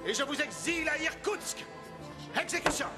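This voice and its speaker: A stern, self-important cartoon judge with a very high-pitched voice. He delivers his words as cold, curt orders, with music clearly playing behind. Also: animated, moderate pace. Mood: angry, imperious. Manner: pompous, curt, commanding. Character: harsh, imperious male court judge who coldly hands out sentences. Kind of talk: French animated series dub acting.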